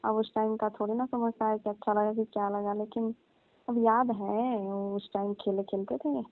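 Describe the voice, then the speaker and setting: A woman's voice: mid-range voice, level tone, normal speed, no echo, soft background music, lightly acted. A young woman playing a coy, teasing female cousin in a casual, colloquial phone chat. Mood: coy reminiscing. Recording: thin narrow-band phone line.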